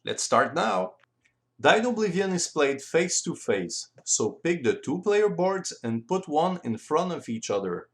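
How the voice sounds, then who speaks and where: A man with a French accent, a native French speaker, explaining board game rules in careful, deliberate non-native English as an instructional voice-over. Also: a little high, sing-song.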